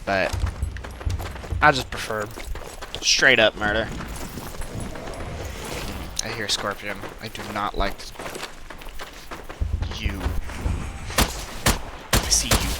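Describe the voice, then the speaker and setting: A man's voice: on the low side, drawn-out words, pauses often. A man giving casual let's play commentary, self-deprecating and full of wry asides. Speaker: gaming video host. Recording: clear game noise behind voice. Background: game gunfire and ambience.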